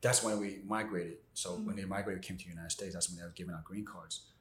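A man's voice: deep voice, steady tone, fast, fairly bright tone, roomy sound, slight background noise. A man telling his story in casual podcast chat, rambling with lots of "you know what I'm saying". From born in Argentina, raised in Maryland. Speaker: guest artist.

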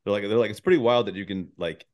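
Deep-voiced man chatting casually. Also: a little mellow. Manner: halting, rambling delivery. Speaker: podcast host. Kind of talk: casual interview chat.

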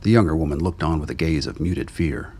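A man with a gravelly, husky voice narrating a scripted radio drama. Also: rumbling low, a little fast, dark tone.

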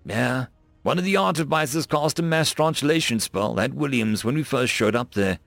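Man as an easygoing, creaky-voiced Marine squadmate. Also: medium pitch, moderate pace, fairly bright tone, no echo, soft background music. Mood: resigned. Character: easygoing male Marine squadmate making introductions, wry.

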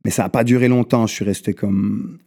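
A man in his thirties who grew up in Morocco, a guest telling his story in a relaxed way and often tagging his lines with "tu vois". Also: on the low side, fairly quick, breathy edge. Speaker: guest rapper. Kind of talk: casual interview storytelling.

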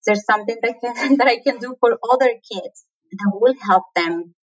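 A woman's voice: a little high, normal speed, faint music behind. A woman from Colombia with a Colombian accent, a native Spanish speaker, talking reflectively as an interview guest and laughing often. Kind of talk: conversational interview.

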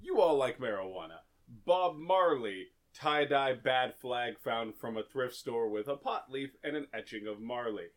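A man co-hosting a podcast, talking loudly and rambling in casual jokes in an echoey room. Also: medium pitch, lively intonation, measured pace. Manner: loud, joking, rambling. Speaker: podcast co-host. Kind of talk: casual chat.

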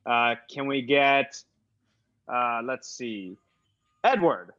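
A man's voice: medium pitch, animated, very slow, pushed and bright, heavily acted. A man playing an earnest, pretentious acting coach giving instructions in improvised comedy, on slightly muffled audio. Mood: earnest, commanding.

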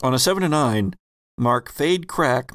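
A man acting as game master, explaining rules and narrating scenes in measured bursts. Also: mid-range voice, expressive, a bit bright, no room sound, studio quality.

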